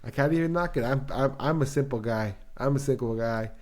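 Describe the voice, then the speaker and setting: A man's voice: mid-range voice, brisk. A young man hosting a podcast, chatting casually in slangy, swear-prone talk and repeating phrases for emphasis. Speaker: podcast host.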